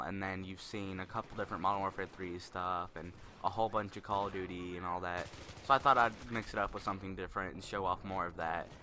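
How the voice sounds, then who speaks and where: A young man with a deep voice giving rambling, spontaneous commentary over gameplay, a little self-conscious. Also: sharp tone, slight background noise.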